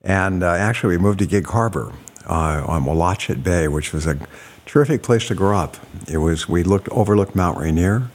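A man from Washington state speaks as a podcast guest in a deep voice. He reminisces fondly and at an easy pace. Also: normal speed.

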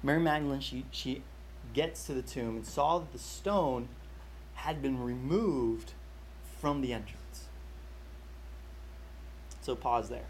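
A man's voice: medium pitch, takes it slowly, long pauses, slight background noise, poor audio. A young man leading a Bible study, telling a personal story in a casual, chatty way with many fillers and restarts.

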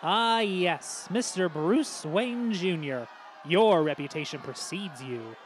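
A man's voice: high voice, expressive, slow, a little hiss or hum. A man playing a smug, theatrically villainous school gang leader in a comedy, mock-courteous and taunting. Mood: menacing, mocking. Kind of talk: comedy series acting.

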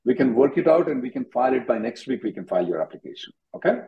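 A man's voice: medium pitch, very animated, fast talker, vocal fry, dull audio. A man hosting an advisory call-in as an attorney, directive and given to repeating himself. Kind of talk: advisory call-in question and answer.

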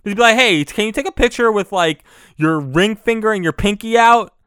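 A young man rants alone into his podcast mic, cursing, mocking, and tripping over his own restarts. His voice sounds smooth and clear, and the recording is close and dry, with no room sound. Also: sits high, lively intonation, a little fast, a bit bright, no room sound. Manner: profane, mocking, rambling with many restarts. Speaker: podcast host. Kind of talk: solo comedic rant.

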